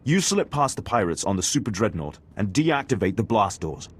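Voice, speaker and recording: Stern, formal military commander. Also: male voice, fairly low pitch, sing-song, normal speed, slightly rough. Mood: grave, measured. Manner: formal, authoritative. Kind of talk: video game voice acting.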